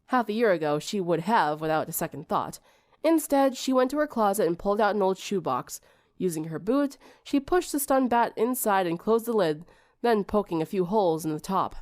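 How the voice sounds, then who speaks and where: A woman narrates fiction in an expressive audiobook style. Her medium-pitched voice moves up and down in a lively way, and it sounds dry, with very little room around it. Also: normal speed, no room sound. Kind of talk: expressive scripted story narration.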